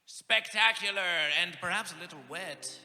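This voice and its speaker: A man's voice: high voice, normal speed, bright, forceful tone. A man emceeing at a music festival, making grand sing-song proclamations to the crowd over a stage microphone. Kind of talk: theatrical stage announcing.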